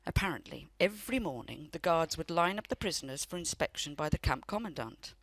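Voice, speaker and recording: A woman's voice: sits low, lively intonation, brisk, a bit bright, no room sound, exaggerated voice. An actress in a radio play playing a loving, wistful elderly grandmother who tells family memories in a reflective way. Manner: reflective storytelling.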